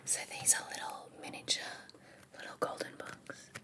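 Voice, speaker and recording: Whispering woman reading a bedtime story. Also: moderate pace. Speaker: reader.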